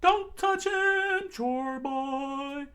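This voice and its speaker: A man voicing a hot-headed, defiant young male cook, yelling in a shrill falsetto. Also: very slow, full character voice.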